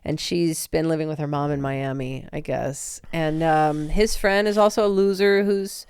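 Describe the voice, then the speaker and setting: A woman's voice: low-pitched, steady tone, normal speed, a little mellow, clear, resonant tone, no echo. A woman co-hosting a podcast, recapping a movie in a chatty, rambling way. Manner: chatty, rambling with fillers, laughs easily. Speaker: podcast co-host.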